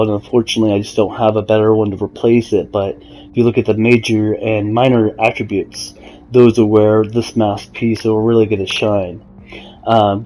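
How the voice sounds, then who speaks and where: A man giving a casual, explanatory game walkthrough in a measured, somewhat halting way. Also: lowish voice, warm tone, slight background noise, low-quality recording. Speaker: gamer presenting a character build guide. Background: music.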